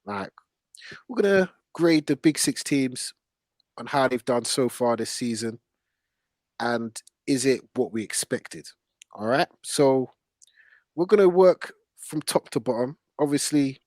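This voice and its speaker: A man's voice: medium pitch, animated, a little slow, darkish tone, dry recording. Blunt man hosting football chat, close-miked. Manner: blunt, opinionated. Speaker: football podcast host. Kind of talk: casual football chat with slang.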